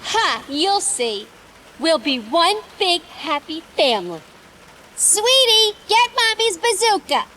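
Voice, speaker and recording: A woman's voice: very high voice, sing-song, takes it slowly, pushed and bright, slight background noise, exaggerated voice, low-quality recording. A woman playing a bubbly, wicked villainess in an audio drama, cutesy and cooing like a doting mock mother. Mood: gleeful cooing. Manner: cutesy, cooing, sing-song. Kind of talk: audio drama character acting.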